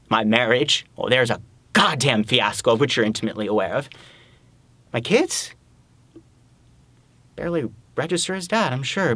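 A man acting a dramatic monologue as a desperate, self-loathing adulterer nearing middle age, halting and repeating himself, swearing and pleading. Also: mid-range voice, fairly bright tone, dry recording, lightly acted. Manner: halting, repetitive, swearing, emphatic.

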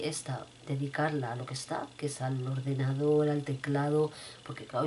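A middle-aged woman with a cold and a rough throat, chatting casually to her viewers from a room at home, repeating herself as she talks. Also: low-pitched, a little mellow, slight background noise. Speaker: vlogger. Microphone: webcam at desk.

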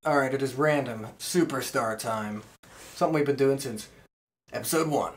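A man's voice: mid-range voice, normal speed. A man hosting a wrestling podcast, chatting casually and informally with co-hosts. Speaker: podcast host.

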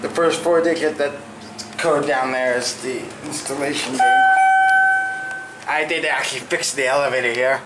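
A man's voice: mid-range voice, normal speed. A man casually narrating his handheld elevator video in a department store, offhand and matter-of-fact. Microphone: handheld camera mic. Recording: echoey store with steady ambient noise.

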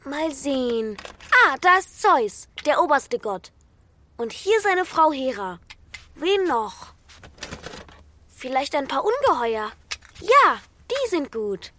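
A playful, imaginative schoolboy in a children's radio play. The voice is high, bright and lively, with faint noise behind. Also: takes it slowly, long pauses, heavily acted. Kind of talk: German children's audio drama acting.

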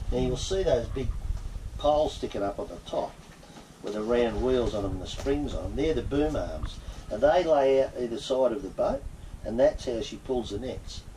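A man's voice: medium pitch, normal speed. A man in his sixties with an Australian accent, explaining a harbour and its fishing in an easygoing way to passengers on a tour boat. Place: open boat in a harbour outdoors. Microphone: action camera from a distance. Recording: distant mic, loud engine and wind noise.

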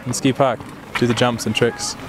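Man in his 20s from Australia, relaxed interviewee, ski instructor, speaking outdoors with clear music underneath. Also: mid-range voice, normal speed. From grew up in Australia. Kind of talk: casual interview about daily work.

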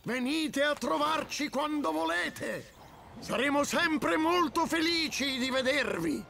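A man voicing a dignified old grandfather eagle in a children's cartoon, with a creaky, aged voice. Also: very high voice, crowd noise, full character voice. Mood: grateful. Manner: slow, dignified.

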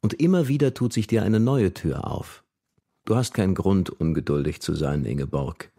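A man playing a stern, patronizing poet and mentor, reading a letter gravely and deliberately for a radio feature. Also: low voice, expressive, mellow, heavily acted. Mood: stern, admonishing. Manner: grave, admonishing, measured.

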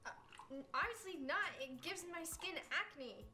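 High-voiced young woman, playful sketch delivery. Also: animated, a little slow, pushed and bright, slight background noise. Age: young adult. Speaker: sketch comedian. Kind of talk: scripted comedy sketch.

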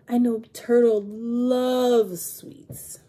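A woman's voice: a little high, flat delivery, drawn-out words, mellow, slight background noise. A woman voicing an earnest storybook cat detective, a fair friend, in simple, emphatic phrases. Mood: suspecting.

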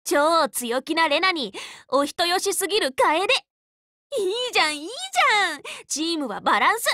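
Anime game role: teenage magical girl, loyal tomboy leader, bright forceful tone, very lively intonation, dry close studio sound, frank and casual. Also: high-pitched, normal speed, dry recording. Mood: fond. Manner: casual, boyish, spirited, frank speech. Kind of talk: anime game character voice lines.

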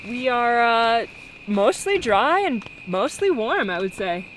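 A woman's voice: fairly high pitch, very animated, slow, a bit bright, slight background noise, low-quality recording. Chatty young woman vlogging in the jungle. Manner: chatty, laughs easily. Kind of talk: casual travel vlog chat. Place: rainy jungle and inside a tent.

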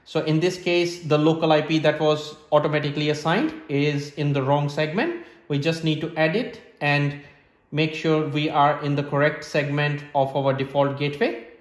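Man with higher voice, echoey room. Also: fairly even intonation, normal speed. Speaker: presenter of a tech setup tutorial. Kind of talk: step-by-step instructional narration. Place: small hard-floored room indoors. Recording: roomy, echoing indoor sound.

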